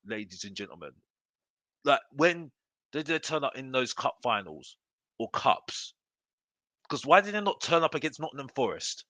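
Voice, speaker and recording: A man with a bright, forceful voice that swings widely in pitch delivers an opinionated sports fan rant. He hammers phrases by repeating them and throws out rhetorical questions. The sound is close and dry. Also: dry recording. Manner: emphatic, repetitive, rhetorical questions; addresses listeners as bro and ladies and gentlemen. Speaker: football fan channel host.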